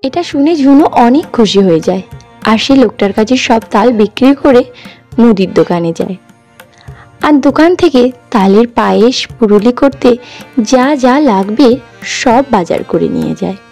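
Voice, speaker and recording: A woman's voice: on the high side, expressive, moderate pace, darkish tone, faint music behind. A woman narrating a scripted fairy-tale cartoon as its storyteller.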